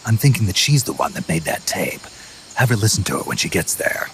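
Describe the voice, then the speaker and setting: A gruff American soldier from a video game. His voice is very deep and thick with gravel, stoic and battle-hardened, yet it swings in pitch. Music plays behind him. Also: very animated, a little fast, a bit bright, a little hiss or hum, exaggerated voice. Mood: calm, dry. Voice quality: gravelly, growling. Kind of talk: dramatic video game acting.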